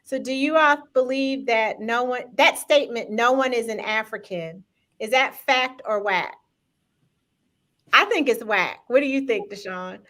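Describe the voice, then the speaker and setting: A woman's voice: fairly high pitch, a little slow, a bit bright. A woman hosting a live-streamed talk show, speaking directly to viewers in an upbeat, engaging way. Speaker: host.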